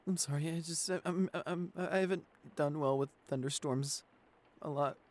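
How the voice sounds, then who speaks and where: A man's voice: on the high side, moderate pace, a little mellow, close to an everyday voice. A man voicing a shy, anxious, apologetic nineteen-year-old boyfriend in an ASMR roleplay, stammering and hesitant as he pleads. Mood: soothed but nervous.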